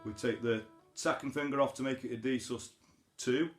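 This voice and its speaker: A man's voice: mid-range voice, steady tone, moderate pace. A man giving a casual, chatty guitar lesson, explaining things informally step by step. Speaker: guitar teacher, host of an online lesson series. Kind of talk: casual spoken guitar tutorial. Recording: roomy sound, guitar behind the voice. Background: acoustic guitar.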